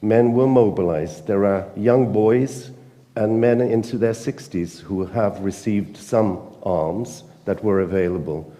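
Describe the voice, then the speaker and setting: British guy at a conference panel, low and emphatic, halting with lots of ums, echoey hall. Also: mellow. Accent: British English. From Britain. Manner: earnest, emphatic, halting with fillers. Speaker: panel speaker, newspaper editor.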